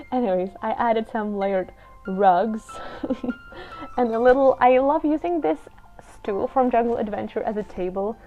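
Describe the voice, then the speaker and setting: A woman's voice: fairly high pitch, very animated, measured pace. A woman from the Czech Republic with a Czech accent gives a casual, chatty, unscripted voiceover as a video creator, explaining her choices as she goes. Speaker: video creator narrating a game build. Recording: dull lo-fi voiceover with music. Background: background music.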